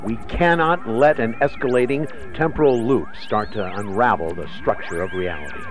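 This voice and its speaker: An actor playing a regretful alien commander in a radio drama, speaking in a measured, formal, reflective way. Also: male voice, medium pitch, faint music behind, close to an everyday voice. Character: regretful male alien commander recording his world-ending mission.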